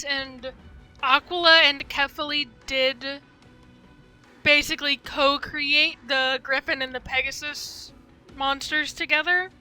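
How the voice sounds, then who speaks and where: A woman with a high, strikingly bright voice commentates casually on a game stream. She rambles and thinks aloud, often tentative, while game music plays faintly behind her. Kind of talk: casual livestream gameplay commentary.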